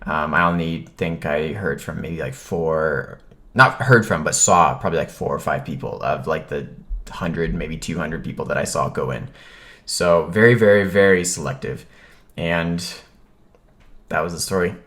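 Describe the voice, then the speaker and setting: A man with a low-pitched voice tells a personal story in a casual vlog style. He speaks informally, as if to viewers, and retells what people said in an animated way. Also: moderate pace. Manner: animated retelling, acts out quoted remarks. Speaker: vlogger telling a personal story.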